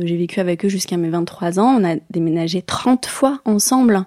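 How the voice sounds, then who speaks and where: A woman's voice: mid-range voice, darkish tone, no room sound, studio quality. A middle-aged woman from France talking reflectively as an interview guest, with many hesitations. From France, born in Bayonne. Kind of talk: casual interview talk.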